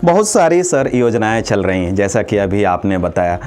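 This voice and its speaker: Man, lowish pitch, radio host, respectful interview questions. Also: big pitch swings, normal speed, a little mellow. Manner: respectful, addresses guest as sir.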